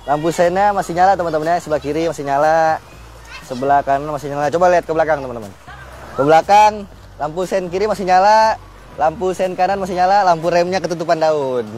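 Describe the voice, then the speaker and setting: A man's voice: fairly high pitch, quick pace, a little mellow, soft background music. Chatty young man testing a scooter outdoors. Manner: chatty, keeps addressing viewers as friends, many fillers. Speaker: automotive news presenter. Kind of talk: casual on-location vehicle test. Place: outdoors on a flooded residential street.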